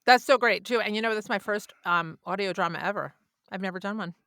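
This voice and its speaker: A woman's voice: mid-range voice, fairly quick, a bit bright, clear, resonant tone, dry recording, clean studio sound. An American woman from New York chatting casually as a podcast host, with an effusive, gushing manner. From New York, United States. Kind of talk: casual chat.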